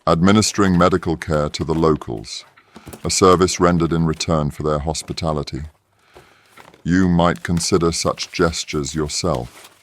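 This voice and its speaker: An actor playing a proud, caustic professor in an audio drama, speaking formally with cold sarcasm. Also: male voice, very deep voice, steady tone, normal speed. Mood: cold disdain. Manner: formal, disdainful, clipped sarcasm. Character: proud, caustic wounded male professor and resistance fighter.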